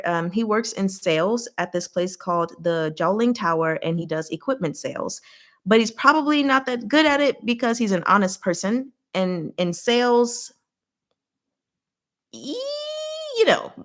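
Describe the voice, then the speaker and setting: A woman's voice: mid-range voice, a bit bright. A woman hosting a casual book recap and discussion, speaking in a chatty, conversational way with frank asides to her listeners. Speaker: host of a book recap livestream.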